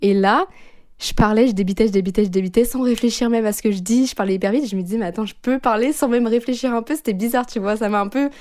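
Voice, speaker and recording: A woman's voice: a little high, fast, a little mellow. A young woman from France, a podcast guest, chatting casually and animatedly about coming home from abroad. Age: young adult. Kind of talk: casual chat.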